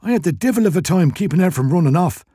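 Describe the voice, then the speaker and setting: A man voicing a burly, irritable Irish shopkeeper in a short story, wheezy and gruff in his complaining. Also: high-pitched, lively intonation, fast, mellow, no echo, clean studio sound, pitched above the performer's own voice. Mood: grumbling.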